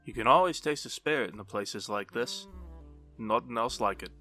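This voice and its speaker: Man playing a sharp, blunt gangster. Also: medium pitch, normal speed, faint music behind. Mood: easygoing. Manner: blunt, colloquial. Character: blunt, irreverent mob boss. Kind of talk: audio drama acting.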